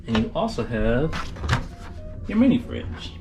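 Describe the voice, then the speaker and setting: A man's voice: mid-range voice, big pitch swings, measured pace, creaky, over music. A tired man giving a casual, informal tour as a travel vlogger, addressing viewers in a folksy way. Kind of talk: casual cruise ship tour commentary.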